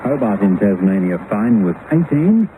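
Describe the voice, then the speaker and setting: A man's voice: on the low side, very animated, moderate pace, noisy background. A man presenting music and weather on the radio in a broadcast style. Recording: tinny 1980s shortwave, loud music.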